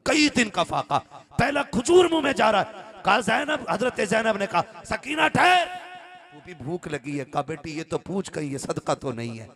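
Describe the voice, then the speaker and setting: A man with a gravelly, strained voice, preaching an impassioned sermon as a dramatic, emotional storyteller who acts out dialogue. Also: high voice, wildly swooping pitch, moderate pace, fairly bright tone. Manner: dramatic, emotional delivery with acted-out dialogue and rhetorical repetition.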